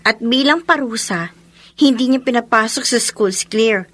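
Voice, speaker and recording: A woman playing a loyal, concerned young best friend in a Filipino radio drama, expressive and sympathetic. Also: a little high, normal speed, no room sound. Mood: sympathetic, worried.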